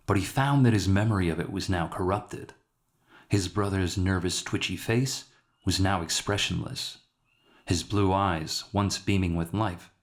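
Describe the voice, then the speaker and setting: Low-voiced man narrating a somber story. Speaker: author narrating his own short story. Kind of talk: dramatic scripted story narration.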